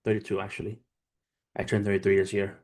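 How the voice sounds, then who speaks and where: Low-pitched man chatting casually on a livestream. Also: monotone, fast talker, darkish tone, breathy edge. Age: 30s. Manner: informal, street slang, profane, addresses viewers as family. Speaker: livestream host, trader. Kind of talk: casual livestream chat, storytelling and motivational talk.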